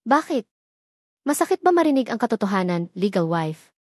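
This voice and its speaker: A woman's voice: mid-range voice, big pitch swings, normal speed, no room sound, studio quality. A woman voicing a scornful, proud young pregnant woman who mocks the others. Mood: sneering. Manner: sneering, mocking.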